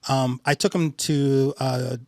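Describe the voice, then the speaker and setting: A man's voice: mid-range voice, fairly even intonation, takes it slowly, no room sound, studio quality. A man, hosting a podcast in casual chat, hesitant, with many fillers. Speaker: podcast host.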